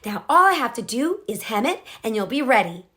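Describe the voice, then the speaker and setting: A woman voicing a busy, dismissive mother in a storybook, brisk and matter-of-fact. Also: on the high side, sing-song, sharp tone. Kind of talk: storybook character reading.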